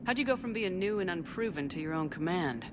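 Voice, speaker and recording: Actress as firm, low-key female commander, noisy backdrop. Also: animated, a little fast, natural voice. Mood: wry. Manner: firm, matter-of-fact. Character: resolute female human military commander, tough and steady. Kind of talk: video game cutscene acting.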